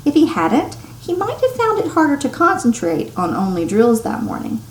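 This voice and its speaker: A woman reads a story aloud in a roomy, echoing space with light noise in the recording. Her pitch swings widely as she stresses key words and pauses dramatically. She drops into hushed tones to build suspense, in the style of a teacher reading to children. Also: huge pitch swings. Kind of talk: expressive read-aloud storytelling. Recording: roomy echo, some background noise.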